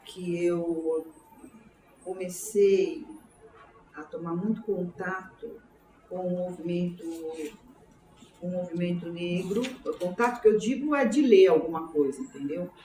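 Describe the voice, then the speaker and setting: An older woman in her sixties speaks with a São Paulo Brazilian accent in a lowish, mellow voice. She reflects on her life as an interviewee, haltingly, repeating words as she goes. The room echoes clearly around her. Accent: São Paulo Brazilian Portuguese. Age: 60s. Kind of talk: oral history interview, autobiographical recollection. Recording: roomy, distant mic, faint background noise.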